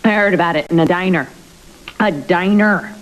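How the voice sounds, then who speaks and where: A woman's voice: on the low side, normal speed, background noise, natural voice. An actress playing a blunt, sardonic, scheming young woman in a television soap. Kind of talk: television soap opera acting.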